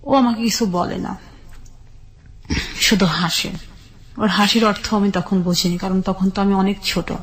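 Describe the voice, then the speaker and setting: A woman with a Bangladeshi accent tells her personal story in an interview, measured and step by step in short phrases. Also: medium pitch, steady tone. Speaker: guest telling her life story.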